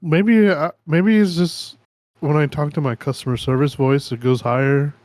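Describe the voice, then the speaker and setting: Soft-spoken young man, dry close sound. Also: fairly high pitch, steady tone, moderate pace, dry recording. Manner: soft-spoken, casual, swears casually. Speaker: podcast co-host. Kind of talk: casual chat.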